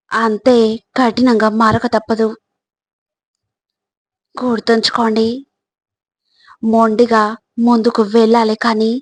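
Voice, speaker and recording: Slow, even-voiced woman narrating. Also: on the high side, no room sound. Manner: measured, deliberate delivery in short phrases. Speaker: narrator of motivational quotes. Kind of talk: scripted motivational narration.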